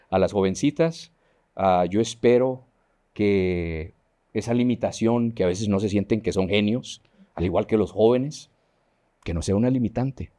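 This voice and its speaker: Earnest middle-aged man from Honduras. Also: lowish voice. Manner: earnest, animated, gesturing while talking. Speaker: guest engineer. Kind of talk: studio TV interview. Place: dark TV studio. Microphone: lapel mic.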